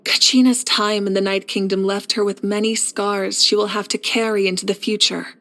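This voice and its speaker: A steadfast warrior leader of a fantasy game nation. Her delivery is measured and composed, with a mid-range pitch. She sounds assured and reflective, caring for those she leads. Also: fairly even intonation, pushed and bright. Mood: solemn, caring. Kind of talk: scripted video game character voice lines.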